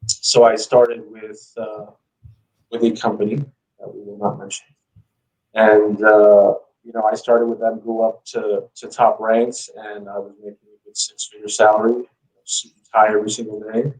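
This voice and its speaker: A man's voice: lowish voice, level tone, normal speed, darkish tone, distant mic, low-quality recording. A man telling his story as a guest in a casual interview, speaking informally with lots of "you know". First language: English.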